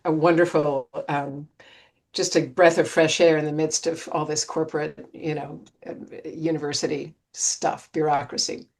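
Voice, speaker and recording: A woman's voice: deep voice, flat delivery, moderate pace, darkish tone. An American woman from Pennsylvania, introducing herself casually as a guest author in a group conversation. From Pennsylvania, United States.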